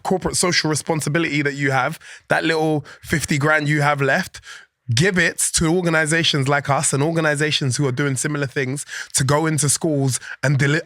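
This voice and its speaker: Guest: man, animated, loose and chatty, close dry mic. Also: a little high, no room sound. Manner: animated, chatty, laughs often, many fillers. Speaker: podcast guest, organisation founder. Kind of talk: casual interview chat.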